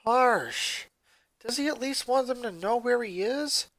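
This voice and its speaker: A woman's voice: a little high, animated, measured pace, fairly bright tone, no room sound, pitched above the performer's own voice. A woman voicing a worried, kindly seventeen-year-old boy in a story reading. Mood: subdued concern. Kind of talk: fan fiction character voice.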